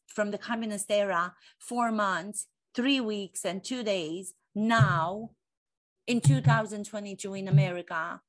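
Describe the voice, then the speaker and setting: A woman's voice: mid-range voice, a bit bright. A woman in her forties with a Romanian accent, an acting coach giving a fervent monologue full of rhetorical questions and repeated phrases. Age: 40s. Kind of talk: impassioned monologue to camera.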